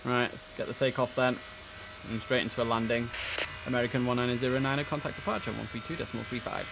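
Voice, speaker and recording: A man's voice: mid-range voice, fairly even intonation, fast talker, creaky, music in the background, poor audio. A man commentating casually on a simulator game, chatting and musing to himself, with clipped radio calls. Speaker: streamer playing an air traffic control simulator.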